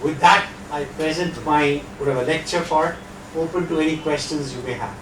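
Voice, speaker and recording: A man's voice: a little high, moderate pace, heavy background noise. Indian man lecturing in an echoey hall. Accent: Indian English. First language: not Hindi. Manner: conversational, rhetorical asides. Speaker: guest lecturer. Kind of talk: lecture with audience Q&A. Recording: echoey hall, distant mic.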